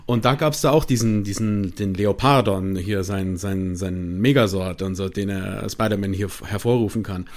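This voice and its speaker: A man's voice: fairly low pitch, fairly even intonation, moderate pace, few pauses, a little mellow. Male, German dialect speaker, eager rambling explainer, casual podcast guest. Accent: regional German dialect. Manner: enthusiastic, rambling with fillers and restarts.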